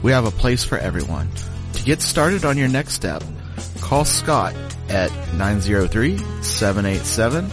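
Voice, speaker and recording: A man reading a scripted radio promo, giving details deliberately. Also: fairly low pitch, very animated. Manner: spells out contact details deliberately. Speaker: advertisement announcer. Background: music with guitar.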